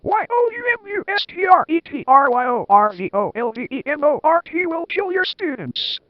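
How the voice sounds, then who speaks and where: A man voicing a blustering male official who shouts in fury, spelling every word out letter by letter. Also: high voice, lively intonation, slow, dry recording, highs cut off. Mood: furious.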